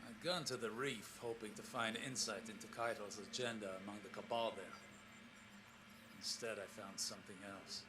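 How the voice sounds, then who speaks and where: A man performs a solemn, scholarly space explorer dictating a log for a video game. He is weary and troubled, choosing formal words slowly and gravely, with brooding pauses. Clear background noise surrounds his voice. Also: lowish voice, steady tone, natural voice. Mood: uneasy, haunted. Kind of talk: scripted audio log in a science fiction game.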